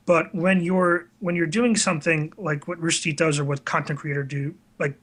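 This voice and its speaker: A man's voice: fairly high pitch, steady tone, normal speed, fairly bright tone. A nineteen-year-old man chatting casually as an interview guest, candid and hesitant as he reflects on himself. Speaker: interview guest, online video creator. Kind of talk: casual chat.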